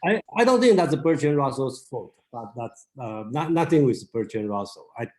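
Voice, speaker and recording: Discussion leader, halting delivery, roomy echo on the voice. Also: male voice, medium pitch, animated, a little mellow. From China. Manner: halting, searching for words. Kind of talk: leading an online reading discussion.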